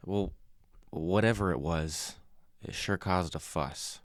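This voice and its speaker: An actor playing an earnest, educated brother-in-law who speaks in a measured, careful way, in a naturalistic radio drama. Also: male voice, low-pitched, dry recording, clean studio sound. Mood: reproachful, frank. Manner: measured, careful, well-spoken.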